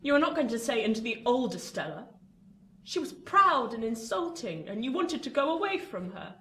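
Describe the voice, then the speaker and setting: A woman's voice: a little high, big pitch swings, normal speed, a bit bright. A woman acting a bitter, obsessive old rich spinster in a radio play, commanding, intense and theatrical. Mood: taunting. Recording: echoey room, distant mic, faint noise.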